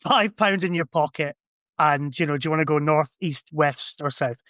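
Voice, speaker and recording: A man's voice: on the high side, moderate pace, a bit bright, no room sound, studio quality. A man chatting casually as a podcast guest, giving rambling, conversational explanations. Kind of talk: casual chat.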